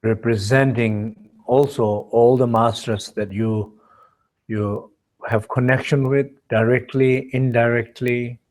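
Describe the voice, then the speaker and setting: A man leads a guided meditation as a teacher, speaking in measured short phrases with pauses between them. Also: medium pitch, fairly even intonation, darkish tone. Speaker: meditation teacher leading a retreat.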